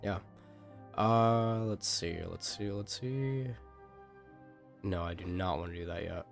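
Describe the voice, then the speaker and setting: Low-pitched man rambling through casual game commentary. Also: takes it slowly, vocal fry. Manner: rambling, thinking aloud. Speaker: game streamer. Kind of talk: casual live gameplay commentary. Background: game music.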